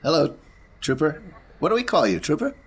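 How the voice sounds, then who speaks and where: A man's voice: fairly high pitch, huge pitch swings, a little fast, husky. A man playing a tabletop game, casually talking through and speaking his character's part. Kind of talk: casual in-game role-play chat.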